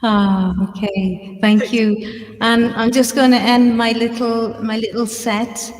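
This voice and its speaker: A woman's voice: on the high side, level tone, takes it slowly, in a large hall, slight background noise. A woman with an Irish accent, a guest musician chatting casually between songs, giggly and full of ums. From Ireland. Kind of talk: casual chat between songs on a live stream.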